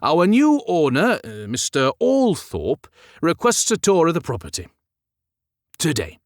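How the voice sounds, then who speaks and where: Man acting a weary older mill boss, pitched up. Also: huge pitch swings, a little slow, dry recording, studio quality. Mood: placid, announcing. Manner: questioning, wearily chiding. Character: gentle, resigned older balding mill manager, Victorian.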